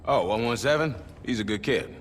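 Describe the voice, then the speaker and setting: An actor playing a seasoned, gruff older sergeant major with blunt military talk in a cinematic game. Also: male voice, mid-range voice, animated, brisk, a little hiss or hum, natural voice. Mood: wry.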